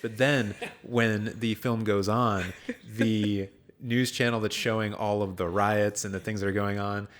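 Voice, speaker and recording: A man's voice: lowish voice, steady tone, unhurried, hardly any pauses, fairly bright tone. A man hosting a podcast, chatting casually about films in a jokey, self-deprecating way. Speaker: podcast host. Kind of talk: casual film discussion.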